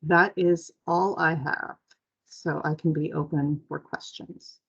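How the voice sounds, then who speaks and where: Lady explaining plans on a work call, lowish creaky voice, bit muffled. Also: fairly even intonation, slow pace. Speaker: agency staff presenter. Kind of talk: semi-formal presentation on a conference call. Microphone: conference call. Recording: muffled conference call audio.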